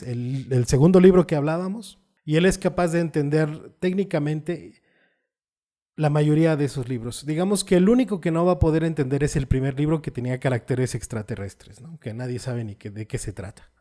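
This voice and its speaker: Guy, photographer talking to camera, soft dark voice, walks you through examples slowly. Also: fairly high pitch. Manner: patient, explains with everyday examples and tag questions. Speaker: photographer and channel host. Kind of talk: explanatory video talk.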